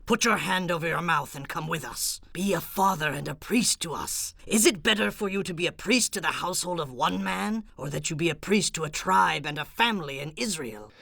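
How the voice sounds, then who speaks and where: An actor playing a bold, commanding Israelite warrior spy in a Bible audio drama, gravelly and urgent. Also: male voice, fairly high pitch, brisk, hardly any pauses, pushed and bright, no echo, exaggerated voice. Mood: threatening. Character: bold, forceful male Danite warrior spy. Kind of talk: Bible radio theatre dramatization.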